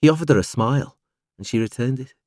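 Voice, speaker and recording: A man reading literary fiction aloud as an audiobook narrator, with a measured, expressive storytelling delivery. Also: mid-range voice, no echo, clean studio sound.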